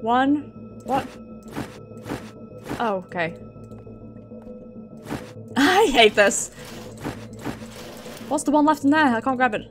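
High female voice, British accent, casual sweary game commentary, thinking aloud, music behind. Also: expressive, takes it slowly, pauses often. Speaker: livestreamer playing a game.

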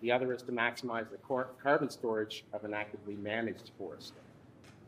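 A man in his thirties leading a workshop from a lectern in a conference room, speaking haltingly with frequent restarts. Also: lowish voice, fairly even intonation, fairly bright tone, slight background noise, low-quality recording. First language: English. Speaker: workshop instructor. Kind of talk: workshop presentation.

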